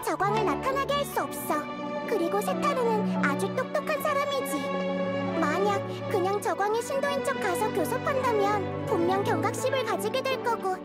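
A woman voicing a small, calm, wise girl deity in a video game, explaining things in a measured, gentle way. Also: very high-pitched. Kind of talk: video game cutscene acting. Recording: music under dialogue. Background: background music.

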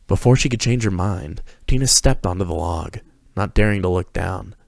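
A man's voice: low-pitched, moderate pace, gravelly, dry recording, clean studio sound. A man reading scripted fiction aloud as the narrator of a dramatic story.

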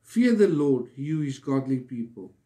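A man preaching a devotional sermon in an earnest, deliberate manner, pausing between short phrases. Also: mid-range voice, very animated, mellow. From South Africa.

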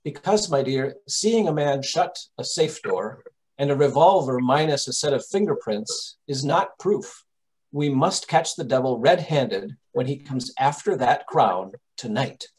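A man playing a calm gentleman detective in an old-time radio drama, measured, reassuring and authoritative. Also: medium pitch, lightly acted, low-quality recording. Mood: explaining.

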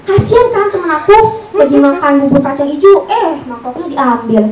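Young woman, high voice, stand-up comedy, small echoey venue, recorded from the audience. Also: lively intonation, normal speed, far from the mic, crowd noise. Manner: performed storytelling with repeated punchlines. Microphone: handheld mic through speakers, recorded from audience. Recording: echoey room, off-mic camera audio.

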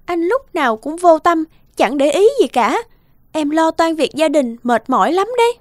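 A woman's voice: high-pitched, lively intonation, normal speed, no echo, studio quality. A woman voicing a neglected young cartoon wife, chiding her husband with pouty affection. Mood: pouty reproach. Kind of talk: cartoon dialogue.

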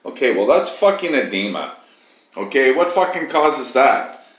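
A man's voice: mid-range voice, very animated, unhurried, a bit bright, echoey room. A man vlogging into his phone in a put-on Scottish accent, swearing in nearly every sentence as he rants. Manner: profane, cursing constantly. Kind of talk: casual vlog to camera. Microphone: phone camera.

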